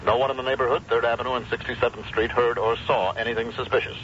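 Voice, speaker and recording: A man playing a brisk, formal morning newsreader in an old-time radio drama, declamatory and impersonal. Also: medium pitch, level tone, fairly bright tone, lightly acted. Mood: formal, matter-of-fact. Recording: dull old radio recording, faint noise.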